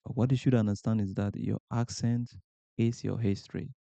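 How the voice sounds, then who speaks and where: A man's voice: lowish voice, fairly even intonation, normal speed, vocal fry, warm tone, no room sound, lo-fi sound. A man from Ghana with a Ghanaian accent, hosting a podcast and giving earnest motivational advice to camera, asking rhetorical questions and repeating points for emphasis. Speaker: podcast host.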